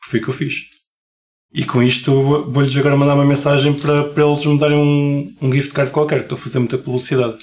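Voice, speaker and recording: A man's voice: mid-range voice, fast. Chatty man with European Portuguese accent. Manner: relaxed, chatty, restarts words often. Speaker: podcast co-host. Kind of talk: casual chat.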